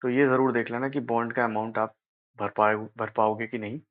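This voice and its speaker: An Indian man talks through practical details in an informal explainer style. He advises casually, like an elder brother, and keeps checking that the listener follows. His voice sits at an ordinary male pitch, and the recording is slightly dull and lo-fi. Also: steady tone, brisk. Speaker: exam advice presenter. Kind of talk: informal explainer of a job notification.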